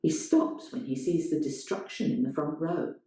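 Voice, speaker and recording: A woman reading literary fiction aloud as a storyteller, in a measured, dramatic way. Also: low-pitched, dark tone, a little hiss or hum. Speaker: story reader. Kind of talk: scripted literary narration, performed reading. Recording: very echoey room.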